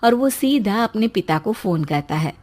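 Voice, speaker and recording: A woman's voice: mid-range voice, animated, normal speed, darkish tone. A woman reading scripted storybook narration as the narrator of a tale.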